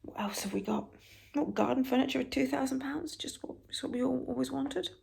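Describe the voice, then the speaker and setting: A British woman with a raspy voice, softly reading newspaper stories for ASMR and adding wry, halting asides. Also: high-pitched. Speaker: ASMR creator.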